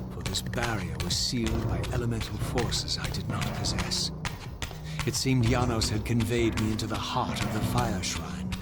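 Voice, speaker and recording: Game casting: male actor for a haunted, proud undead vampire wraith, mid-pitched, eloquent and bitter, over loud music. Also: close to an everyday voice. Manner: theatrical, eloquent, grave diction. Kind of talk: dramatic game cutscene acting and first-person narration. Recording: loud music bed behind voice.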